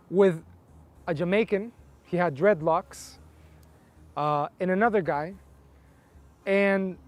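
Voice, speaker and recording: A man from Colombia, interviewed, telling his life story in a reflective, casual way, often using "like" as a filler. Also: high-pitched. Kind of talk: personal testimony, casual storytelling.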